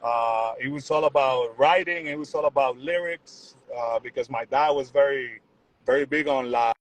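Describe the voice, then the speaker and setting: A young man from Puerto Rico, talking in a relaxed, rambling way as a musician guest on a video call. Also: mid-range voice, moderate pace, poor audio. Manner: relaxed, rambling, repeats words, says "you know what I'm saying". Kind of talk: casual interview chat.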